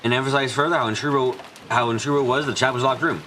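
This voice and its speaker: A man reads a visual novel story aloud at a quick clip. His pitch is medium, and there is faint noise behind the voice. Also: steady tone, pushed and bright. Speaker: fan dub reader and narrator. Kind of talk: read-aloud game narration.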